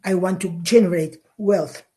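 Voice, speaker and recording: A middle-aged woman from Mexico, a conservation leader speaking English, passionate and halting with fillers and repeats. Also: lowish voice, mellow, slightly rough. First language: Spanish. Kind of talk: interview answers.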